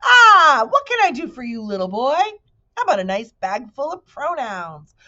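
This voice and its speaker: A woman voicing a pushy male market salesman from a storybook, calling out drawn-out sales pitches, in a dull recording. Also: very animated, bright, forceful tone, exaggerated voice. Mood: booming, eager. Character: booming male market hawker, loud and pushy.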